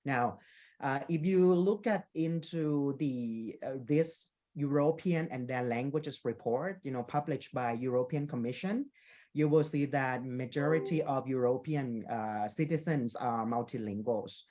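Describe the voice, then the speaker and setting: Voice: mid-range voice, measured pace, warm tone. A speaker from Thailand gives a conference presentation on their work as a teacher trainer. They explain points patiently, lean on "you know," and keep checking in with listeners with "okay?" and "right?".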